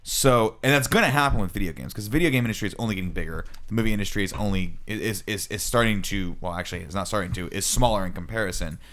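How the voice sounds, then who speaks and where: A man's voice: lowish voice, quick pace, hardly any pauses, fairly bright tone. An American man in his thirties co-hosting a casual panel podcast, earnestly explaining and posing questions in a rambling way. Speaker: podcast co-host introducing his topic.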